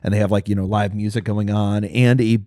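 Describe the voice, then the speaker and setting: A man co-hosting a podcast in relaxed casual chat, with lots of "like" and "um". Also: on the low side, fairly quick, no room sound, studio quality. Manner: relaxed, filler-heavy. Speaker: podcast co-host.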